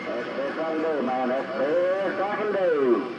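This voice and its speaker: A man's voice: fairly high pitch, lively intonation, normal speed, very noisy. A laid-back American man chatting colloquially over CB radio, often repeating his phrases. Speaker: CB radio operator. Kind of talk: casual CB radio chat. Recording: dull lo-fi CB radio audio.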